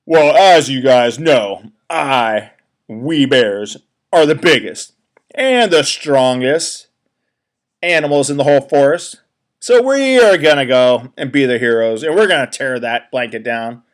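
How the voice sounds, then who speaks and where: A big, proud bear from a storybook brags loudly about his strength, voiced by a man. The voice is pushed and swaggering, bossy toward the smaller animals. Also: mid-range voice, animated, measured pace, lightly acted. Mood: boastful. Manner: boastful, swaggering.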